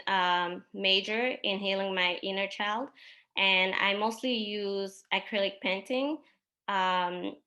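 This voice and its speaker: A woman whose first language is French, possibly from Mali, presents her own artworks in an unhurried talk, pausing often with ums. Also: medium pitch, sharp tone. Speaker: exhibiting artist.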